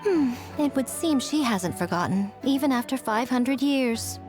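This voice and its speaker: A woman playing a sly, elegant, centuries-old fox yokai in a video game, teasing and theatrical in a measured way. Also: sits high, animated, music in the background. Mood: composed, knowing.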